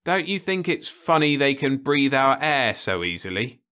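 A man's voice: mid-range voice, very animated, normal speed, sharp tone, smooth, voice pushed up. A man voicing a sly, burly uncle who probes with leading questions, in a storybook reading. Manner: sly, probing. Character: burly, sly, hairy middle-aged uncle.

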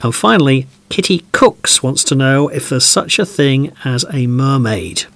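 A man's voice: mid-range voice, animated, measured pace, gravelly, a bit bright, no room sound. A man playing a polite, chatty, slightly bemused podcast host in an audio drama.

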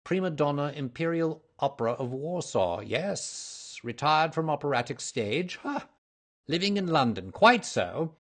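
A cool, incisive gentleman detective from a storybook, as a male narrator puts him on. His male voice is husky, and he talks drily and briskly, with languid superiority and a touch of impatience. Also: a little high, very animated, voice pushed up. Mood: musing, brisk.

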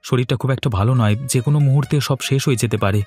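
A man's voice: medium pitch, racing, a little mellow, breathy edge, soft background music, close to an everyday voice. A man voicing a greedy young son-in-law in a cartoon, grumbling and sarcastic. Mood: informing.

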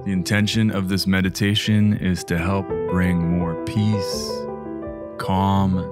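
Low-voiced man guiding meditation, very slowly. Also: little pitch movement. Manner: gentle, hushed delivery with long pauses between short phrases. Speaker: meditation guide and host. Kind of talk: guided meditation. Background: music.

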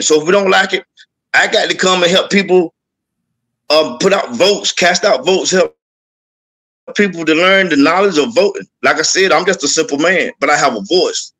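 Man guest, Southern US accent, pitch a bit high, earnest and repetitive video-call talk. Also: sharp tone, poor audio. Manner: earnest, repetitive, speaks in lists. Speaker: podcast guest. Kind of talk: testimony-style panel talk.

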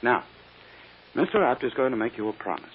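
An actor playing a controlling prosecutor who talks down to a child, smooth and insistent, in a radio drama. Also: male voice, mid-range voice, big pitch swings, brisk, natural voice. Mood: coaxing. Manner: patronizing, insistent. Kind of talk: 1950s radio drama acting. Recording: dull noisy old radio recording.

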